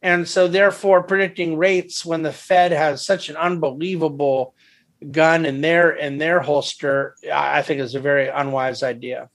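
A man with a slightly higher pitched voice talks through markets on a conference call. He thinks aloud, hedges his views and often restarts sentences. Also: level tone, moderate pace, fairly bright tone. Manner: thoughtful, hedging, many restarts. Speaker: investment firm head and market commentator. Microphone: phone or conference call line.

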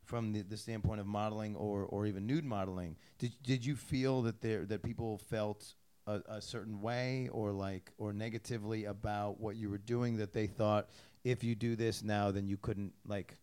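This is a man hosting a casual podcast interview, with a voice a bit lower than most men. He rambles and restarts his sentences often. His asides are loose and offhand, and now and then he swears. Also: steady tone, moderate pace, few pauses, darkish tone, clean tone. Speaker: podcast host.

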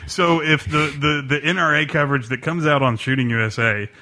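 A man's voice: on the high side, fairly even intonation, a little fast, pushed and bright. A man hosting a podcast, chatting casually, telling stories with plenty of laughs and jokes. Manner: laughs easily, jokey storytelling. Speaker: podcast host. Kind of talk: casual chat.

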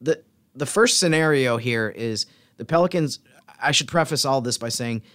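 A man's voice: medium pitch, normal speed, a bit bright, dry recording. A man co-hosting a sports podcast as stats analyst, explaining things casually and methodically.

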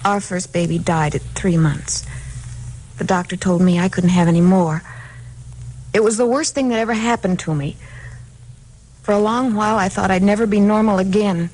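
A woman's voice: medium pitch, moderate pace, long pauses, darkish tone, lightly acted. An actress with an American accent playing a polite, hesitant, sorrowful married woman in a 1950s radio drama. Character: soft-spoken, hesitant married woman pleading her sorrowful case. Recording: noisy 1950s radio recording.

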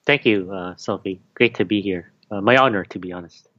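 A man who was born in Malaysia and raised in Canada, a university teaching fellow answering interview questions in a hesitant, halting way. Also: low-pitched, pushed and bright, lo-fi sound. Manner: hesitant, many fillers and restarts.

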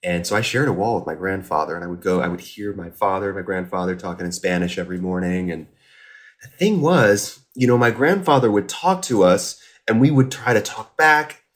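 A man's voice: sits low, animated, a little fast. An American man from Indiana, a podcast guest, telling personal stories casually and reflectively, often interrupting himself.

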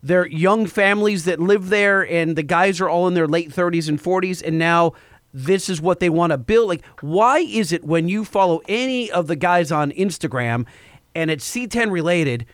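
A podcast host with a markedly high voice who fires off curious, blunt questions. He keeps the tone casual and pushes for answers. The sound is close and dry. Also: normal speed, dry recording.

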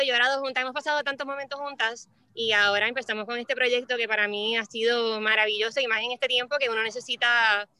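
A woman's voice: fairly high pitch, sharp tone, clear, resonant tone. A woman with a Puerto Rican accent chatting casually as an interview guest. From Puerto Rico. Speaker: interview guest, lawyer and mother. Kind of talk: casual chat. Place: outdoors by the beach.

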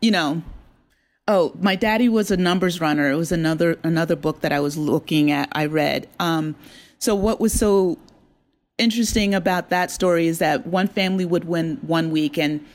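A woman's voice: on the low side, moderate pace. A woman speaking as an interview guest, conversational, earnest and explanatory. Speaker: interview guest, audio drama writer.

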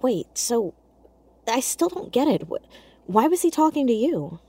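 An actress playing an earnest, caring teenage girl in an audio drama, speaking in a hesitant, halting, naturalistic way. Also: fairly high pitch, big pitch swings, warm tone, husky, no room sound, exaggerated voice. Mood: puzzled, guarded. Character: earnest, awkward, caring teenage girl amateur sleuth, hiding in fear.

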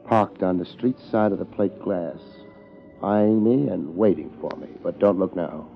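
Muffled 1950s radio recording, music, dry hard-boiled American detective, laid-back, wisecracking. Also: male voice, sits low, a little slow, gravelly. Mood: wry, musing. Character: wry, hard-boiled male private investigator. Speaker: radio detective actor and narrator.

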